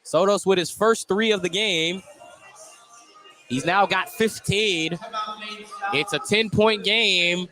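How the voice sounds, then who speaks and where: A man doing live play-by-play radio commentary of a high school basketball game, descriptive and excited on the plays. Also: takes it slowly, long pauses, over music. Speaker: play-by-play sports announcer.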